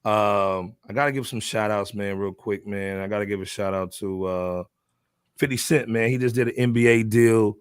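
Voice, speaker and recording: A man's voice: on the low side, steady tone, normal speed, smooth, dry recording. A man hosting a hip hop podcast in a casual, slangy, informal way, often punctuating his lines with "man". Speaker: podcast host.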